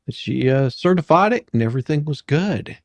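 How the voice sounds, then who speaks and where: Gravelly man chatting casually, dry close sound. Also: on the high side, expressive, brisk, no room sound. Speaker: livestream host. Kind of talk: casual chat.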